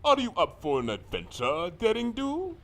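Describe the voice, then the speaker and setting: A man voicing a pompous, courtly villainous creature in a fan fiction reading, speaking formally and deliberately with theatrical menace. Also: sits high, wildly swooping pitch, a bit bright, full character voice. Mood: grand, inviting.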